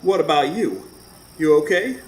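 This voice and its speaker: A man's voice: on the high side, very animated, moderate pace. An actor playing a rustic, kindly neighbor devoted to his old hound, with folksy colloquial talk, in a radio drama recorded live on stage. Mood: concerned. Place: stage before a live studio audience. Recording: roomy live stage recording, background noise.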